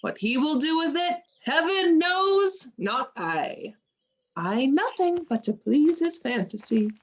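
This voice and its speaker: An actress playing a dutiful, wry wife, musing deliberately in Shakespearean verse for a radio play. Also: female voice, high-pitched, lively intonation.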